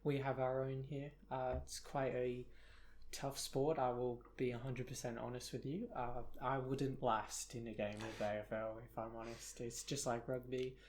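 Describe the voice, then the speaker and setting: A man's voice: medium pitch, little pitch movement, normal speed, darkish tone, slight background noise. Young guy w a british accent, rambly self-deprecating podcast chat, lots of ums. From South Africa. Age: young adult. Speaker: podcast co-host. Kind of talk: casual chat.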